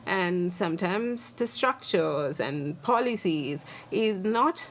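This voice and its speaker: A Sri Lankan woman in her forties with a Sri Lankan accent, a lawyer answering interview questions earnestly and thoughtfully, pausing on many fillers. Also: on the low side, expressive. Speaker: guest, human rights lawyer and advocate.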